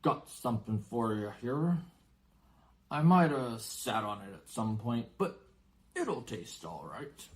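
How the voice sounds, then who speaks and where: A man voicing a huge, gruff, friendly giant gamekeeper from a storybook, hearty and blunt, with rustic colloquial talk. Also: mid-range voice, sing-song, slow. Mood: jovial. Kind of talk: storybook character voice.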